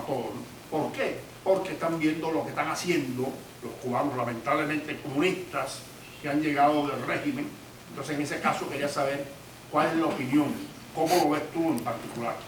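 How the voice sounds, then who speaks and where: Hesitant man asking from an echoey room. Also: a little high. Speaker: audience member asking a question. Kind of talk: asking a question in a public Q&A. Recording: echoey room, distant mic, faint noise.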